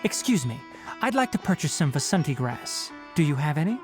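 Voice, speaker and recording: A man playing a stern young male forest ranger and scholar who talks in a knowledgeable, brisk and blunt way, in game cutscene dialogue. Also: on the high side, big pitch swings. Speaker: forest watcher. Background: game music.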